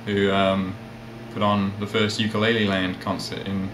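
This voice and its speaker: A man of about thirty with a mixed South African, English and Australian accent, chatting casually and a little haltingly as an interview guest. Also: low-pitched. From South Africa, with years in England and Australia. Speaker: interview guest, ukulele player and magazine editor. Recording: roomy echo, steady background noise.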